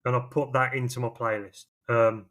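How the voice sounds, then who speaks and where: A man co-hosts a podcast with a medium-pitched voice. He talks casually, rambling informally from one thought to the next. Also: flat delivery, moderate pace, a bit bright. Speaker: podcast co-host. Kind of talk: casual chat.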